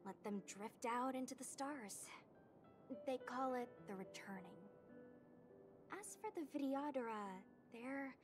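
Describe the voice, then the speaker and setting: Curious, high-pitched young warrior girl. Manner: casual, unschooled, inquisitive. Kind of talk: video game character dialogue. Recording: background music under the voice.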